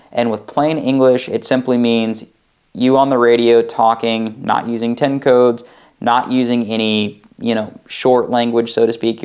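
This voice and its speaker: Clear-voiced American man explaining practical tips. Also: mid-range voice, a little mellow. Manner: methodical, explains with examples. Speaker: presenter of prepping advice videos. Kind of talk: informal instructional explainer.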